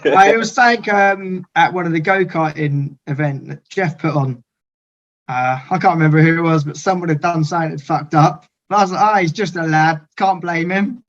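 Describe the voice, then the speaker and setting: A man's voice: on the high side, normal speed, lo-fi sound. A man in his thirties chatting easily as a podcast guest. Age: 30s. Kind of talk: casual group chat.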